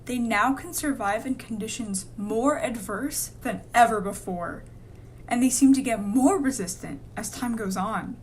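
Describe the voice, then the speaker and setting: A serious female disease researcher acted by a woman in a radio drama. She sounds firm and determined, with a fairly high voice over a slightly noisy recording. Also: a little slow, lightly acted. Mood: grave, explaining. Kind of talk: amateur radio drama dialogue.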